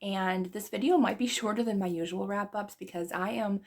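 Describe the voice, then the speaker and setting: A woman's voice: mid-range voice, steady tone, normal speed. Woman losing her voice, casual book chat, rambly, laughs at herself. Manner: chatty, rambling, self-deprecating laughs. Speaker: book vlogger. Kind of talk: casual reading wrap-up chat.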